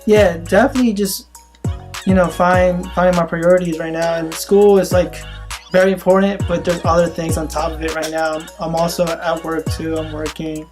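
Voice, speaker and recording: A young man in his senior year of college, chatting casually and laughing often, with soft music behind him. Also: on the high side, normal speed. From Philippines. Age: young adult. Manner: relaxed, laughs often, says man a lot. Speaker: co-host. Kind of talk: casual chat.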